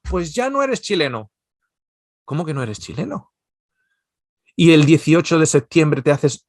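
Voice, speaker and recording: Spanish guy giving a seminar talk, kinda high gravelly voice, restarts a lot, dry sound. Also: no echo. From Spain, Basque Country. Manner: animated, digressive, many restarts and stammers. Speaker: seminar speaker.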